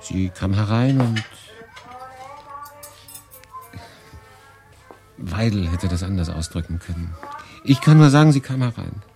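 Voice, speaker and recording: An actor playing a weary, brooding young refugee, narrating a radio drama with intensity, shifting between hushed and emphatic. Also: male voice, on the low side, animated, normal speed, vocal fry, faint music behind. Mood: haunted, longing.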